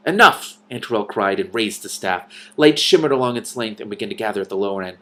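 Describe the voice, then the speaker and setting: A man with a slightly low voice reads a storybook aloud. His delivery is expressive narration, mixed with casual, off-the-cuff commentary. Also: fast. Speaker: online book reader and commentator.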